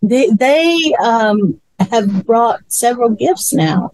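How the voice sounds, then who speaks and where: A woman muses in a slow, unhurried way, often repeating herself as she works out her thoughts. Her voice sounds close and dry, with no echo. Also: on the high side, lively intonation, darkish tone. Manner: musing, repeats words while thinking. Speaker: co-host. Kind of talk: casual chat.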